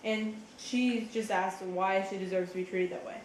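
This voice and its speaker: A woman's voice: mid-range voice, fairly even intonation, normal speed, natural voice. A woman acting as a patient, knowledgeable explainer, giving casual literary commentary in a conversational way. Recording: roomy echo, faint background noise.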